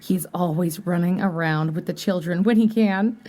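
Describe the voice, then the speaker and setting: A woman's voice: lowish voice, moderate pace, dark tone, close to an everyday voice. A woman playing a welcoming, busy boarding-house mistress in an audio play, chatty and gracious. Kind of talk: audio drama acting.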